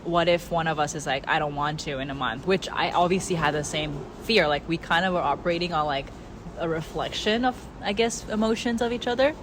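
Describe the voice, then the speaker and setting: A young woman co-hosting a casual chat, rambling with lots of fillers and quick to laugh, English not her first language. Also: low-pitched. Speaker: co-host. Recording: noisy recording.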